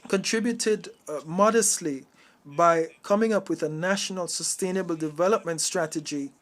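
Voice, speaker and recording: Male conference interpreter, slightly high voice, formal register, halting and uneven live delivery of a political address. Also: a bit bright. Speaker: simultaneous interpreter.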